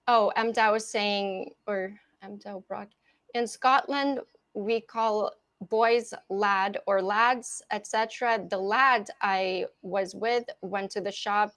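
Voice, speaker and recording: A woman from Ukraine co-hosts a livestream chat, relaxed and conversational as she reads out viewer comments. Her voice sits in a middle pitch. The sound is dry and close, with no room echo. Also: slow. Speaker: co-host.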